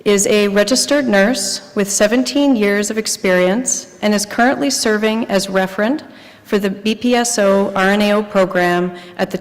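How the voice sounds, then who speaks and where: A woman's voice: medium pitch, big-room sound. A woman moderating a conference, thanking a presenter and introducing the next one. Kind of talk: introducing speakers. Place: large conference hall.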